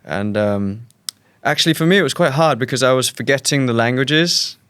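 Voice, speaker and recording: A man's voice: mid-range voice, moderate pace, a bit bright, clean tone, no room sound. A man in his thirties with a British accent, a guest chatting casually and often restarting his sentences. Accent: British English. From Thailand. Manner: rambling, with frequent restarts and repeated words. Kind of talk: casual interview chat.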